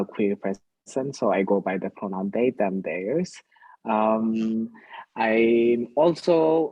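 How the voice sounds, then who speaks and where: Male voice, Ethiopian accent, slightly low and breathy-husky, halting delivery, searching for words, activist panelist. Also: a little mellow, no echo, highs cut off. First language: Amharic. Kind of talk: introducing themselves in a discussion.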